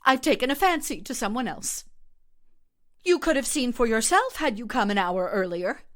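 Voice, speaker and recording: Woman acting a melodramatic, high-pitched lovelorn lady. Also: sing-song, moderate pace, a bit bright. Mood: cold, haughty. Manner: theatrical, swinging between coy and fierce. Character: volatile lovelorn woman, coy, haughty and melodramatic.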